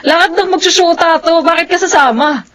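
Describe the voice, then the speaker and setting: A woman's voice: very high voice, brisk, fairly bright tone. A woman with a Filipino accent playing a friendly, assertive working girlfriend in a radio drama. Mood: breezy banter. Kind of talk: Tagalog radio drama acting.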